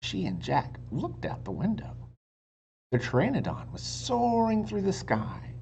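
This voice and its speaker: A man reads a story aloud to children like a teacher. His delivery is slow and hugely animated, stretching words and sinking into hushed tones. The recording is a little dull, with faint noise behind him. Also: sits high, gravelly. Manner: dramatic, stretches words, drops to hushed whispers. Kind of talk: expressive children's book read-aloud with asides to listeners.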